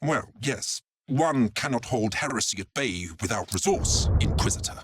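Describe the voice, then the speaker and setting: A woman playing an anxious, indignant high church official in a video game, formal and declamatory. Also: expressive, moderate pace, noisy background. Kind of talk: video game cutscene acting.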